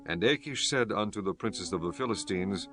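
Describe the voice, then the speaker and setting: A man giving measured, formal narration for a scripture audiobook. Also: lowish voice, animated, fairly bright tone, faint music behind.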